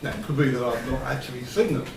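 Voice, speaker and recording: A man speaking as a councillor in a meeting, measured and deliberating as he puts his view. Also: medium pitch. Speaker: parish councillor. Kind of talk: meeting discussion. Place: indoor parish council meeting room. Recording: distant mic, echoey room, clear noise.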